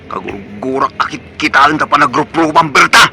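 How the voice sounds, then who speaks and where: A man's voice: a little high, expressive, normal speed, gravelly, bright, forceful tone, slight background noise, heavily acted. A Filipino man playing an irritable young bachelor son in a comedic radio drama, curt and scolding. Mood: exasperated.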